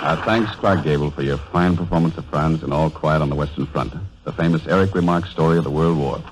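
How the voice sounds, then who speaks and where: A man's voice: extremely low pitch, fast talker, low-quality recording. A man hosting a 1930s radio variety show in a formal announcing style. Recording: vintage 1930s radio broadcast.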